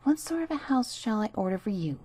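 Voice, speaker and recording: A woman's voice: mid-range voice, very animated, a little fast, a little mellow, breathy edge. A woman voicing an old, feeble mother pig who gives her piglets earnest warnings in a storybook reading. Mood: pleading.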